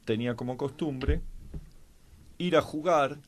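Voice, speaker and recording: A man with a mid-pitched voice and an Argentine Spanish accent talks through history in an informal discussion. He explains things haltingly, as a commentator, leaving drawn-out gaps while he finds his words. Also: a little hiss or hum.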